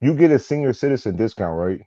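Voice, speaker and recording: A man's voice: medium pitch, animated, quick pace, dark tone, lo-fi sound. A man in his thirties chatting casually on a panel call, rambling and easygoing. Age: 30s. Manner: rambling, chatty, laughs easily.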